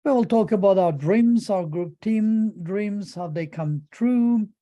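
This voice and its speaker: A middle-aged man with a Turkish accent presents informally. His voice is very soft-edged and mellow, and he speaks haltingly, repeating words as he feels for the next phrase. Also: high voice, dull audio. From Turkey.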